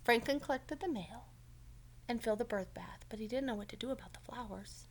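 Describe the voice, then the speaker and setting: A woman's voice: fairly high pitch, a little fast, a little hiss or hum. A woman reading a children's story aloud as a teacher, expressively, with simple explanatory asides to young listeners.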